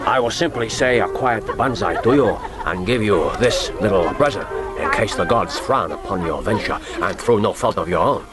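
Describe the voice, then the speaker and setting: An avuncular Japanese intelligence chief, played by a man, speaks in a fairly low voice. He is formal and ceremonious, in a radio drama over a loud score. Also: lively intonation, normal speed, over loud music, close to an everyday voice. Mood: solemn farewell.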